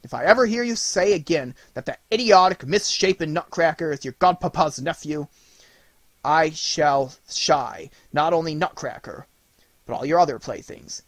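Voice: medium pitch, normal speed, breathy edge, no room sound, natural voice. A reader doing a stern storybook father. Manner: stern, lecturing. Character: stern, earnest middle-aged father. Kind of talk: audiobook character reading.

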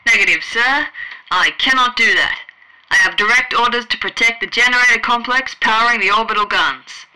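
A woman's voice: fairly high pitch, moderate pace, pushed and bright, rough recording. A woman voicing a tense, clipped male super-soldier squad leader speaking over the radio. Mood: firm. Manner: terse military radio talk.

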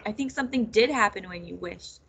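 A woman's voice: mid-range voice, brisk, creaky, a bit bright, slight background noise. A woman voicing a helpful male farmer in a storybook, tentative and folksy.

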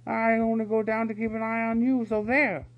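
A man doing shrill squabbling weasel guards. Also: level tone, moderate pace. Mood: bickering. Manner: shrill, bickering, yelping. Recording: dull lo-fi recording with faint hum.

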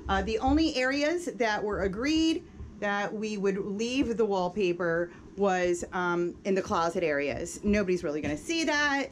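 A woman's voice: mid-range voice, animated, unhurried, a little hiss or hum. A woman in her thirties giving a casual, upbeat how-to demonstration while working inside a small RV, with plenty of fillers as she goes. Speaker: RV renovation vlogger. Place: inside a small RV under renovation. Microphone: camera mic, moving about while working.

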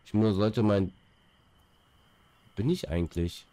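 A man's voice: lowish voice, slow, dark tone, no echo. A young man with a stuffy nose from a cold, chatting casually on a headset as he plays a game and rambles with fillers and repeated words. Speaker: gaming streamer. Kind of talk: casual chat while playing.

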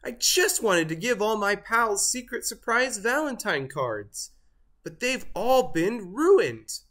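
A storyteller voicing an excitable, dramatic storybook turkey who gobbles, wails and exclaims. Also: medium pitch, takes it slowly, voice pushed up, exaggerated voice. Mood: moaning, disappointed. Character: excitable turkey, dramatically dejected when found out.

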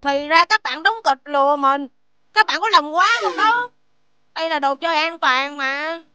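A woman's voice: sits high, moderate pace, pushed and bright, no room sound, dull audio, faint music behind. A woman with a southern Vietnamese accent voicing a mischievous, defensive little schoolboy in a children's cartoon.

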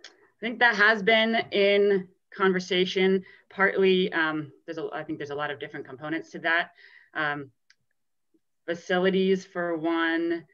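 Bright, crisp woman coach on a video call. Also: fairly low pitch, fairly even intonation, unhurried. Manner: thoughtful, hesitant, many ums. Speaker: ski coach. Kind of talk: press conference answers. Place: small office indoors. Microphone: laptop webcam on a video call. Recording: slightly dull video call audio.

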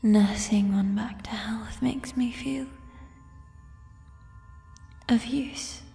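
A giant mushroom troll girl, played by a woman whispers in an ASMR roleplay, doting and quietly possessive. Her breathy voice is warm and very slow, with formal, coaxing phrasing. It rings in a long cavern-like reverb over soft music. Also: on the high side, steady tone, full character voice. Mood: gently soothing. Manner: unhurried, formal, old-fashioned phrasing, coaxing. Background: fantasy ambience music.

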